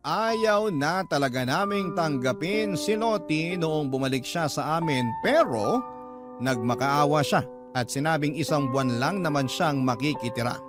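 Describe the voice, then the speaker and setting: A man narrating a listener's letter in the first person as a radio drama host, with dramatic storytelling delivery. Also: a little high. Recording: loud music bed under voice. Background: prominent instrumental music.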